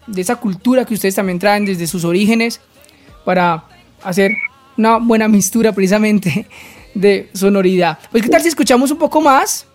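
A male radio host, asking short questions and introducing songs in a friendly way. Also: high-pitched. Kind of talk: radio interview hosting.

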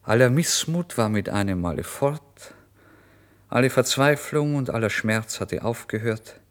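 A man acting a starving, feverish, proud narrator near madness in a dramatic radio play monologue, intense and theatrical, swinging between hush and outcry. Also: mid-range voice, animated, unhurried, close to an everyday voice. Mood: ecstatic relief.

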